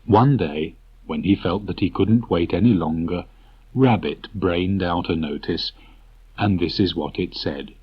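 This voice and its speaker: A man's voice: low voice, a little slow, slightly rough. A man narrating a children's story from a script as an audiobook reader. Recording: muffled old cassette tape transfer.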